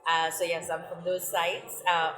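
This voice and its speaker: A woman's voice: lowish voice, completely flat delivery, unhurried, a bit bright, soft background music. Cheerful woman in an echoey room. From Kenya. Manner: cheerful, laughs often. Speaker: guest. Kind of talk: casual interview chat. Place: podcast studio room.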